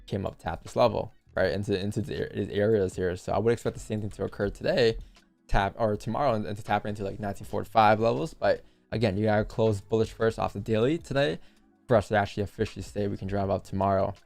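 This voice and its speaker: A young man with a low, gravelly voice hosts a live trading stream from a desk mic. He talks loosely to his chat, cracking jokes between chart calls. Faint music plays underneath. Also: steady tone, fast, a little mellow. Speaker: livestream trading host. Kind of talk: casual live commentary to chat.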